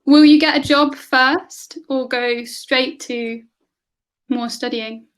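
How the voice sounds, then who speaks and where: A woman's voice: high-pitched, steady tone, takes it slowly. A woman from England, tutoring over a video call in casual conversation, asking lots of questions and laughing often. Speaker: online English tutor.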